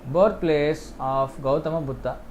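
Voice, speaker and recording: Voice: medium pitch, unhurried, a little mellow, slight background noise. A teacher with an Indian accent lecturing through exam questions step by step, repeating points and often punctuating them with a short yes. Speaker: exam-prep history teacher.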